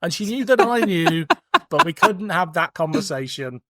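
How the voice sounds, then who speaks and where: A man's voice: fairly high pitch, very animated, moderate pace, pushed and bright, dry recording, clean studio sound. British man in his forties, chatting casually. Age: 40s. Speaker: podcast host. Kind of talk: casual chat.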